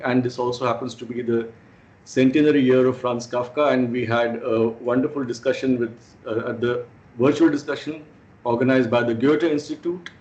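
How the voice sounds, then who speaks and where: A man's voice: mid-range voice, a little mellow. A man from India with an Indian accent, giving a talk over a video call as a guest speaker, articulate but with frequent fillers. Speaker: guest speaker, German studies scholar. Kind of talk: online presentation talk. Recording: muffled video call audio.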